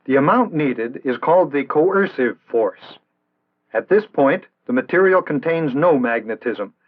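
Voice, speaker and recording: An American man reading scripted instructional narration in a measured, formal, didactic way, in the style of an early 1960s training film. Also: on the high side, animated. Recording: tinny band-limited vintage 1960s film soundtrack.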